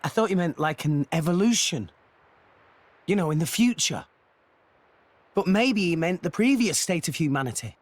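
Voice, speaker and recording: Eager young hero. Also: male voice, fairly high pitch, expressive, normal speed, long pauses, dry recording. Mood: earnest. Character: eager young male hero, earnest and blunt.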